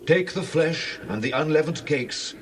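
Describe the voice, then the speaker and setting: An actor playing an angel of God giving solemn instructions in a scripture drama. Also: male voice, on the high side, unhurried, natural voice. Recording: slightly distant, faint noise.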